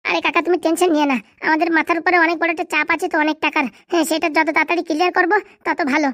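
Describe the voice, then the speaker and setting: Casting: brash comic cartoon schoolboy, acted out in a put-on voice, Bengali accent, piping high register, quick delivery. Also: level tone, bright, forceful tone, no room sound, clean studio sound, heavily acted. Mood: brash, excited. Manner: brash, colloquial, bantering. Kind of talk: Bengali comedy cartoon dialogue.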